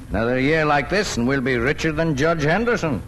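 A man's voice: fairly high pitch, animated, normal speed, a bit bright. An actor playing a genial, proud farmer father in a 1940s radio drama. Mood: pleased, proud. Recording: noisy old 1940s radio recording.

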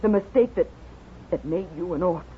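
A woman's voice: lowish voice, expressive, a little fast, slightly rough. An actress playing an earnest, devoted young frontier woman in a dramatic 1950s radio western style. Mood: earnest explaining. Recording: tinny, noisy 1950s radio recording.

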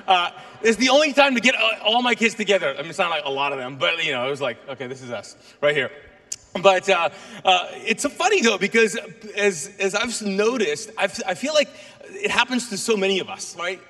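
A middle-aged man who grew up in Kuwait with Urdu as his first language, preaching as a guest pastor to a congregation in a lively, joking way. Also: high-pitched, a little fast. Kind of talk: sermon. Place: large church auditorium. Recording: long hall reverb.

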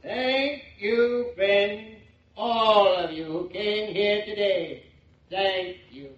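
A man's voice: high-pitched, a bit bright, full character voice. An actor playing a solemn, pompous town selectman giving a memorial address in 1940s radio drama style. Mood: grave, grateful. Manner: pompous, oratorical, slow. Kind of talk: 1940s radio drama acting, public speech. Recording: dull old radio recording, echoey, distant mic.

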